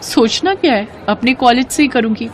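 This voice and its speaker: A woman's voice: on the high side, expressive, normal speed, a faint crowd, slight background noise, close to an everyday voice. Woman voicing a modest, principled young student. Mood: polite. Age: young adult.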